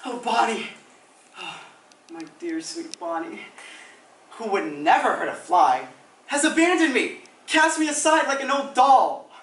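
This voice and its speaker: A man's voice: very high-pitched, big pitch swings, a little slow, pauses often, a bit bright, voice pushed up, heavily acted. A young man performing a panicky, melodramatic stage actor who pleads theatrically in a comic monologue. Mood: frantic. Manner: theatrical, overwrought, exclamatory. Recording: roomy, distant mic, some noise.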